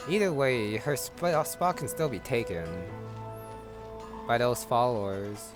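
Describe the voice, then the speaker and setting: A man's voice: mid-range voice, lively intonation, unhurried. Casual male gamer, music behind. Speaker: gamer commentator. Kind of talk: casual gameplay commentary.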